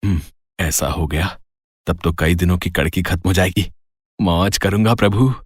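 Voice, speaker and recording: A man's voice: low voice, moderate pace, breathy edge, dry recording, clean studio sound. A man playing a sly, gleeful petty thief in a moral story cartoon, muttering and coaxing with mock piety. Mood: greedy scheming.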